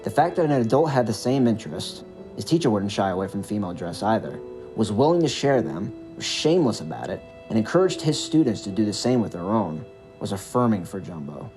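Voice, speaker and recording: A man narrating a scripted character backstory as an audio drama, in an expressive, dramatic storytelling manner. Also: fairly low pitch, fairly quick, music in the background.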